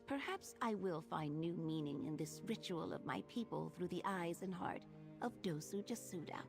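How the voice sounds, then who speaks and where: Earnest tribeswoman, video game dialogue, formal and measured, gravelly edge, music underneath. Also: fairly low pitch, animated. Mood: gracious. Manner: formal, measured, poetic phrasing. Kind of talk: video game character acting. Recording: music behind the voice.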